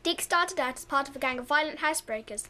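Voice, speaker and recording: Young woman as a high-voiced roving reporter. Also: fast talker, bright, forceful tone. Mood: earnest. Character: earnest, chatty male roving reporter. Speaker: drama student. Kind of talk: mock news report in a radio play.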